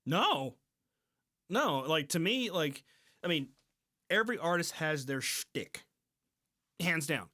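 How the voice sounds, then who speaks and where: A man's voice: fairly high pitch, very animated, slow pace, pauses often, bright, forceful tone. A man co-hosting a podcast in informal conversation, speaking emphatically and repeating phrases as he builds a point. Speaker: podcast co-host. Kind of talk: casual podcast chat.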